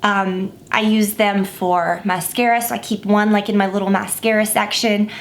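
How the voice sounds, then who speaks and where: A woman's voice: medium pitch, fairly even intonation, moderate pace. Woman, makeup vlogger, stuffy and a bit scratchy, chatty, rambling, fillers. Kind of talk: casual makeup tutorial.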